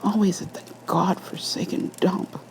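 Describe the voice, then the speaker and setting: A woman voicing an excited, secretive police officer who murmurs haltingly in a horror story reading. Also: on the low side, whispered, pitched above the performer's own voice. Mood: excited, uneasy.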